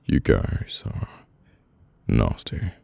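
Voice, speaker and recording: A man with a British accent playing a brooding, guarded mafia boss in an intimate, halting, murmured ASMR roleplay. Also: dark tone, no room sound. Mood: subdued, wry.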